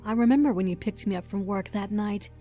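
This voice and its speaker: A woman's voice: medium pitch, a little fast, mellow, faint music behind, close to an everyday voice. A woman voicing a bitter, grieving daughter in a wistful audio drama monologue. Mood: wistful, subdued.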